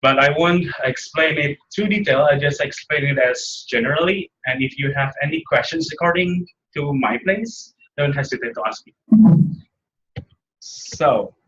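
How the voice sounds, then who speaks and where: A young man from Indonesia with an Indonesian accent, giving a seminar talk in halting English with many fillers and restarts. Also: fairly high pitch, fairly bright tone, muffled recording, slight background noise. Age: young adult. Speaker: seminar presenter. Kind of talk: academic slide presentation. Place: seminar room.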